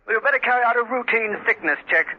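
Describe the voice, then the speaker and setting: High, clipped, husky army colonel. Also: male voice, quick pace. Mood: brisk, commanding. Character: commanding army colonel giving clipped orders by walkie-talkie. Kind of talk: 1970s radio drama acting. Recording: dull, lo-fi old radio recording.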